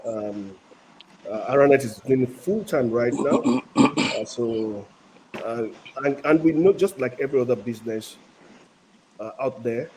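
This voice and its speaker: A man from Nigeria, hosting an online panel in a relaxed way, often saying "you know". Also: medium pitch, unhurried, pauses often, noisy background. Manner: relaxed, leans on "you know", light laughter. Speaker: host. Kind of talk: casual panel chat.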